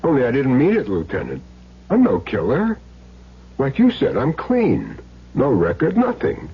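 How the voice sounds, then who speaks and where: A man's voice: medium pitch, wildly swooping pitch, unhurried, breathy edge, exaggerated voice. An American man acting a cagey small-time hired gun in an old radio crime drama, streetwise and earnestly insisting he is clean. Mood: earnest, self-justifying. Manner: streetwise, plain slangy talk, earnest self-justifying. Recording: dull, noisy old radio recording with hum.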